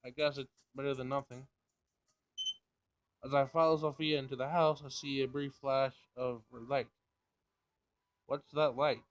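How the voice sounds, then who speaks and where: A man's voice: medium pitch, fairly even intonation, unhurried, long pauses, clear, resonant tone, dull audio. A man reading visual novel narration aloud, sometimes stumbling over the text and rereading it.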